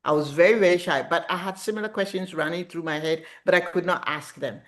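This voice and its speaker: A middle-aged woman, speaking as an author in a casual interview, animated and reflective as she tells stories about her writing. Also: fairly low pitch, quick pace.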